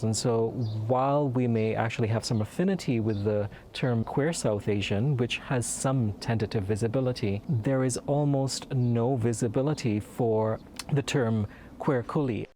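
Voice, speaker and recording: A man's voice: medium pitch, darkish tone, a little hiss or hum. Man speaking thoughtfully in a documentary. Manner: thoughtful, hesitant phrasing. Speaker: documentary interviewee. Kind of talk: personal testimony in a documentary.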